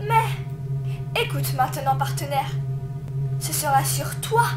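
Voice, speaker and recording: Woman voicing a high, taunting demonic child. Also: lively intonation, slow, over loud music. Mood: taunting, bitter. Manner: mocking, theatrical, emotionally intense. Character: taunting, bitter, vengeful demonic child spirit, possessive partner. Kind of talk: amateur comic dub acting.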